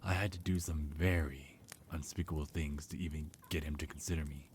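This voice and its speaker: A man's voice: extremely low pitch, steady tone, fairly quick, slight background noise. A man playing a hostile, resentful vampire in an ASMR roleplay, speaking with bitter, menacing contempt. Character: hostile, resentful male vampire of a mansion household.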